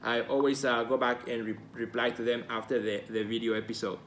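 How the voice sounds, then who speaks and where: A man's voice: medium pitch, level tone, brisk, fairly bright tone. A man with a Mexican Spanish accent, Spanish being his first language, talks casually to his viewers as a host and coach. His voice sits in an ordinary middle range, and he is earnest and encouraging, circling back to his key phrases. A faint noise runs under the recording. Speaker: host and art career coach. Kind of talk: casual live video talk to viewers.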